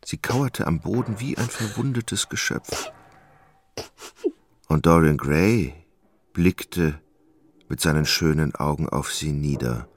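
A man narrating a literary radio play in a measured storytelling manner. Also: rumbling low, animated.

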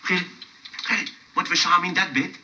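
An actor playing a pleading, excitable small-time crook in a radio play, stammering and insistent. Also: male voice, high-pitched, brisk. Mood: insistent, aggrieved. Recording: muffled, heavily noisy radio play recording, distant.

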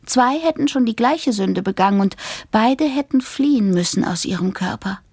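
A weary, affectionate young woman in a radio drama. Her highish voice is breathy and half-whispered, recorded very dry, confiding with an uneasy, dreamy air. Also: expressive, normal speed, darkish tone, no echo. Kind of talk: intimate radio play acting.

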